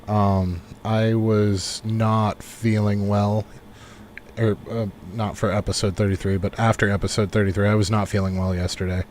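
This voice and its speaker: A man giving relaxed, rambling live commentary on a game he is playing, thinking aloud as he goes, while recovering from a cold. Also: lowish voice, normal speed, a little hiss or hum. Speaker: game streamer. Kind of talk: casual live gameplay commentary.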